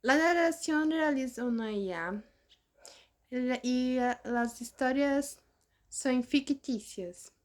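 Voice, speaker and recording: A woman hosts with a Brazilian Portuguese accent, speaking as a non-native in a scripted introduction. Her voice sits a little high. She goes slowly and haltingly from phrase to phrase. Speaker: channel host.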